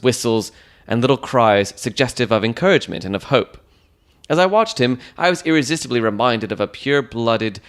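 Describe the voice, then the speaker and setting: A man's voice: mid-range voice, a bit bright. A man reading scripted first-person narration for a dramatized detective story.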